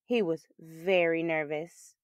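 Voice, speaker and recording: A woman reading a children's picture book aloud as a storyteller, with expressive, emphatic delivery. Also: sits low, slow pace, dry recording, clean studio sound.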